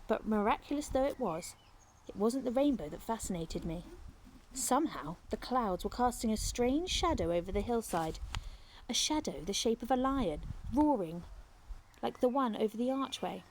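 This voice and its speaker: A woman's voice: fairly high pitch, expressive, moderate pace. Woman reading a story, slightly noisy recording. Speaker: teacher reading aloud to pupils. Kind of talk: narrated children's novel reading.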